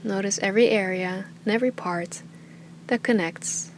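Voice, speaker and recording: A woman teaching meditation with a calm, soothing, unhurried delivery that often trails into breathy softness. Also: medium pitch, slight background noise. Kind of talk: guided meditation, soft slow instruction.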